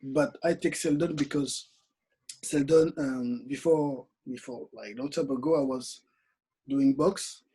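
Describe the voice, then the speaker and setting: A man from Congo, raised in Paris, talking as an interview guest in halting English, tired after a workday. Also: medium pitch, husky, low-quality recording. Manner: halting non-native English, soft-spoken. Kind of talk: casual interview conversation.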